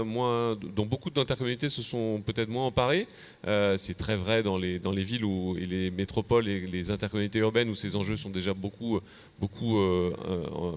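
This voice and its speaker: Fast-talking, low-pitched Frenchman presenting policy. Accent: French from France. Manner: halting, repeats words. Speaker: association official presenting a policy manifesto. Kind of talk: semi-prepared conference panel presentation. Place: convention plenary session.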